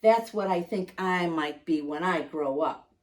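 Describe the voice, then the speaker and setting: A woman's voice: on the low side, unhurried, recorded from a distance, voice pushed down, lightly acted. A woman voicing a curious, eager young boy in a bedtime storybook, asking questions.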